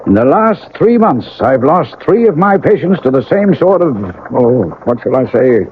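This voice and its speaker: An actor playing a weary, aging doctor in a 1980s radio drama, folksy and musing in manner. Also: male voice, fairly high pitch, very animated, moderate pace. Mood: troubled, musing. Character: weary, troubled small-town doctor, aging male friend. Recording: tinny radio recording with faint noise.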